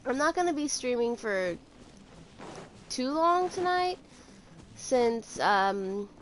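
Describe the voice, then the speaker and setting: A woman's voice: a little high, lively intonation, takes it slowly, slight background noise. A young woman chatting casually and a little hesitantly as she streams a game. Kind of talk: casual live stream chat while playing a game.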